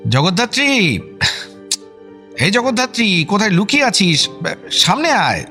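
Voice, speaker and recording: A man acting a blustery but affectionate uncle in a radio drama, husky and colloquial. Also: sits high, big pitch swings, measured pace, pushed and bright, faint music behind, exaggerated voice. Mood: angry. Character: blustery, affectionate family uncle, teasing and exasperated.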